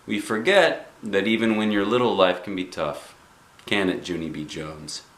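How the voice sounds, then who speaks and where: A man voicing a weary, patient middle-aged school principal in a children's storybook, speaking in a measured, dry way. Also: lowish voice, a bit bright, reverberant, voice pushed down, natural voice. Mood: sympathetic. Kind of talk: children's storybook read aloud.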